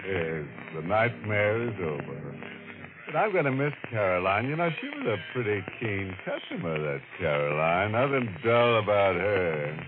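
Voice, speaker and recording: An actor playing a sardonic, ghoulish horror host, mocking and drawn-out, in tongue-in-cheek 1940s radio style. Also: male voice, fairly low pitch, big pitch swings, narrow, tinny audio. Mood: gleefully macabre. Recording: old band-limited radio with loud music.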